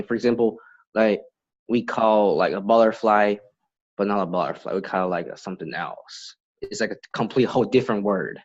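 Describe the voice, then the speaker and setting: A young man from Beijing with a Chinese accent, chatting casually as a guest and often pausing to search for words. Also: on the low side, dull audio. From Beijing, China. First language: Mandarin Chinese. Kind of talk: casual chat.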